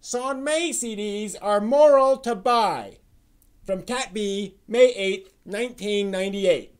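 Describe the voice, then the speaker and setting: Crow character played by a man, pitched high, loud, theatrical dramatic reader. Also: takes it slowly, smooth. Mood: dramatic, declamatory. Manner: loud, declamatory dramatic reading. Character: crow reading out an old anime forum post.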